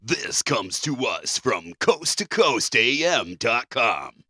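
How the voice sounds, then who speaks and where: A man's voice: medium pitch, very animated, slow, pushed and bright, husky, dry recording, clean studio sound. A man co-hosting a podcast, chatting casually and reading out stories. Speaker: podcast co-host.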